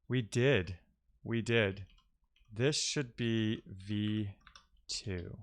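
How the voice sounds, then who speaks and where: Low-pitched, unhurried man thinking aloud. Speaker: live-coding streamer. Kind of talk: casual thinking-aloud programming commentary.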